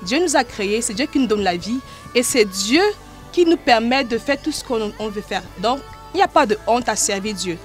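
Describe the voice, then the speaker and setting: A woman's voice: a little high, expressive, normal speed, faint music behind. A woman with a Cameroonian accent speaking as an interviewed gospel artist, in an earnest, devout manner. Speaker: gospel artist and choir director being interviewed. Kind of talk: interview talk about life and music.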